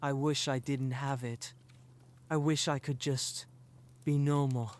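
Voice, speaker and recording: A man's voice: mid-range voice, flat delivery, slow pace, close to an everyday voice. A man playing an earnest, weary teenage boy wizard in a fan audio drama, subdued and troubled.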